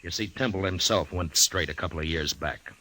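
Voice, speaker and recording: An actor playing a stoic, weary frontier U.S. marshal, an American with halting, plain-spoken delivery in an old-time radio western. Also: male voice, deep voice, sharp tone, poor audio. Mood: grave, resolute. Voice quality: gravelly. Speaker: radio drama lead actor.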